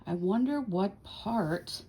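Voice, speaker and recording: A woman's voice: mid-range voice, expressive, slow pace, warm tone, slightly rough. A woman hosting a tarot reading, chatting casually and thinking aloud in short, musing phrases. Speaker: tarot reader hosting a video series.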